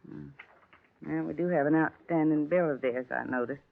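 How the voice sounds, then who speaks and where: An actress playing a brisk, obliging store credit clerk in a 1950s radio drama. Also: female voice, sits low, vocal fry. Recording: tinny lo-fi 1950s radio broadcast.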